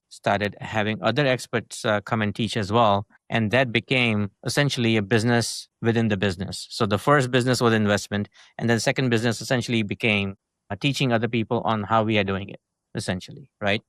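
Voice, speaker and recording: A man's voice: lowish voice, steady tone, brisk, a bit bright, no echo, studio quality. A middle-aged man from India with an Indian accent, a guest talking through his story in long, loose sentences full of "right?" and "essentially". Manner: talks in long chains with many "uh", "right?" and "essentially". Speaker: guest, marketing agency founder. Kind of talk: casual interview conversation.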